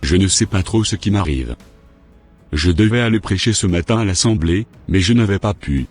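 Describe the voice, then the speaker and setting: A man's voice: sits low, expressive, normal speed, breathy edge, soft background music. A man voicing a sick, repentant preacher. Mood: troubled. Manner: troubled, pleading, prays humbly.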